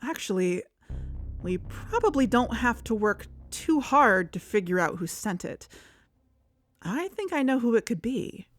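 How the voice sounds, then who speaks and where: A woman's voice: a little high, very animated, a little slow. A woman playing an earnest, suspicious podcast investigator in a conversational audio drama. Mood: pensive, suspicious. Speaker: podcast co-host. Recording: music under the voice.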